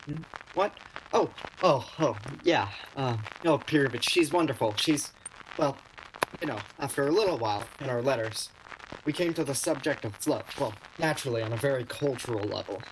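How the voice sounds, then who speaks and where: A very deep-pitched, prickly male shop clerk played by a woman in a radio play. He is nervous and lovestruck, tripping over his words in a flustered way. Background noise shows in the amateur recording. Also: animated. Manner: flustered, halting, stammering. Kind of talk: virtual radio play acting.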